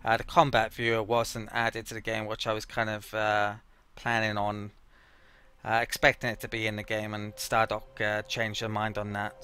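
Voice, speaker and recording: A man's voice: fairly low pitch, bright, forceful tone, clear, resonant tone. A man giving casual gameplay commentary as a let's play host, thinking aloud in a hesitant, rambling way.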